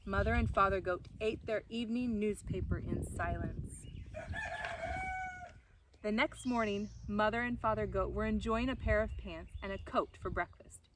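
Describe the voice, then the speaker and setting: A woman narrates a children's story in a medium-pitched voice. There is noticeable background noise with clicks and animal sounds. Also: moderate pace. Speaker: storybook reader.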